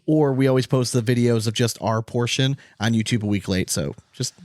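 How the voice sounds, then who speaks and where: A man's voice: medium pitch, a little fast, a little mellow, no echo. An American man co-hosting a podcast with informal, sweary banter, repeating himself for emphasis. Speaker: podcast co-host. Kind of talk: casual banter between friends.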